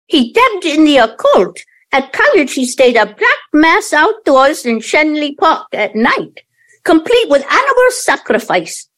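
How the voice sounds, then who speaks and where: High, very animated, earnest younger daughter, played by a woman. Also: measured pace, full character voice, poor audio. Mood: wistful. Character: conciliatory younger daughter, earnest, quick to bristle. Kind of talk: audio play acting.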